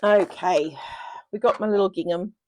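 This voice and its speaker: A woman's voice: mid-range voice, moderate pace, darkish tone, slight background noise, rough recording. A woman hosting a live card-making stream, chatting and thinking aloud as she works, a bit sniffly from a cold. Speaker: craft demonstrator, live stream host. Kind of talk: casual live crafting chat.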